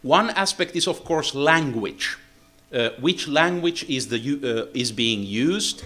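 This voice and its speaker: A man from Finland, a native Finnish speaker with a Finnish accent, giving a technical seminar lecture in English with frequent "uh, uh" pauses. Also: mid-range voice, expressive, bright, forceful tone. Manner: halting, many "uh, uh" fillers.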